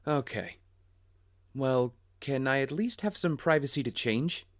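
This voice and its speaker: An actor playing a wealthy young heir, polite, smooth-talking and guarded, in audio drama dialogue. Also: male voice, mid-range voice, animated, a little slow, no echo, studio quality. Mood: wry, guarded.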